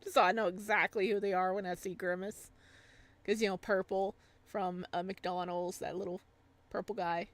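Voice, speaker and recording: A woman's voice: mid-range voice, flat delivery, normal speed, no room sound. A woman streamer with a chatty, giggly manner, talking casually to viewers while playing and reading aloud. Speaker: live streamer reading a visual novel aloud. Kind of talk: casual stream chat and playful read-aloud.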